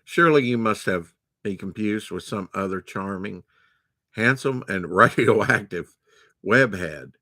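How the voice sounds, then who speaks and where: Dude doing a cocky joking young superhero, punchy storybook voice. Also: male voice, fairly low pitch, expressive, unhurried, sharp tone, no room sound, clean studio sound, exaggerated voice. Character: wisecracking young male superhero.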